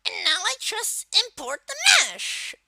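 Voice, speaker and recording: A performer voicing a small turtle-like video game villain kid, giving a casual step-by-step software tutorial in a halting, hesitant way. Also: extremely high pitch, very animated, vocal fry, no room sound, full character voice. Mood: hesitant, matter-of-fact. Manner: halting, hesitant, trailing off in asides. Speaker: tutorial presenter.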